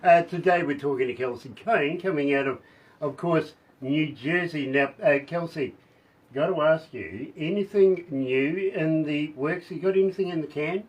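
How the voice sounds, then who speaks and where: Husky, hoarse male radio host. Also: on the high side, moderate pace, low-quality recording. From New Zealand. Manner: effusive, chatty, laughs often. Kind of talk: radio interview.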